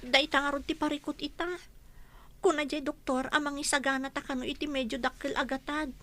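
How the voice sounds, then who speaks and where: Very high, piercing anxious young daughter. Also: female voice, steady tone, fairly quick, pushed and bright. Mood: worried, subdued. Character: anxious young daughter worried for her mother. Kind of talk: radio drama acting.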